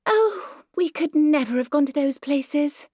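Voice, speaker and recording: A genteel Victorian mother played by a woman reader chatters in a high, airy English voice. She is fussy and pleased with herself, in storybook dialogue recorded close and dry. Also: animated, unhurried, dark tone, no echo. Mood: vague, airy. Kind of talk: dramatised audiobook character dialogue.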